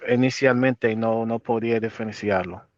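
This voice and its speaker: A man from Chicago with an American accent answering casually as an interview guest in learned Spanish, halting and correcting himself. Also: medium pitch, fairly even intonation, no room sound, muffled recording. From Chicago, United States. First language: English. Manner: halting, self-correcting second-language speech.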